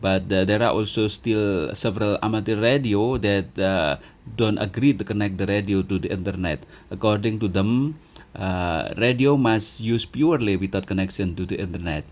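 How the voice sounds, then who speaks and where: A man's voice: lowish voice, moderate pace. A man with an Indonesian accent speaking on amateur radio, formal and friendly, using radio sign-offs. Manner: formal radio etiquette, repeats "roger" and "my friend". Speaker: ham radio operator. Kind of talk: two-way radio chat.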